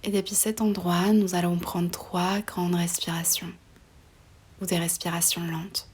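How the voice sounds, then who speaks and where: A woman guiding a relaxation meditation in a soft, breathy voice often near a whisper, slow and soothing, with pauses between short phrases. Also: lowish voice, flat delivery, slight background noise. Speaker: yoga nidra meditation guide.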